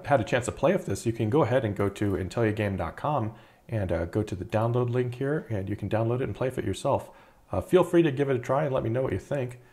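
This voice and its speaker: A man in his thirties, an indie game developer, talking casually through a screen-recorded devlog with a relaxed, rambling manner and many fillers. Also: fairly low pitch. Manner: relaxed, chatty, frequent uh fillers and repeated words. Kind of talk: casual video devlog narration.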